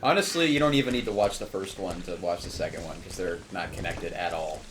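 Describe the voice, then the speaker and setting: A man's voice: deep voice, quick pace, background noise. A man hosting a casual group gaming stream, wry and opinionated as he chats over the game. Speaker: host.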